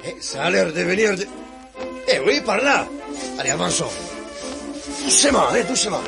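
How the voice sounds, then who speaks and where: Man playing a Neapolitan braggart, Italian accent, high gravelly voice, lively and chatty. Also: normal speed, over music, heavily acted. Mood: boastful. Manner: chatty, self-assured, laughs often. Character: boastful, wily Neapolitan male adventurer.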